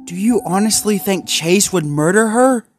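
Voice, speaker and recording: A man's voice: lively intonation, normal speed, faint music behind. A man voicing a worried, whiny young mutt pup. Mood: doubtful. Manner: pleading, whiny, higher raised voice. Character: anxious, gentle young male mixed-breed dog.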